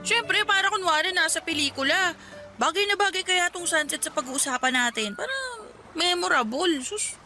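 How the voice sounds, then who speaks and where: A woman's voice: very high voice, moderate pace, sharp tone. An actress playing Sonia, a talkative and bossy young woman, in a radio drama. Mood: scheming. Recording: music bed under dialogue.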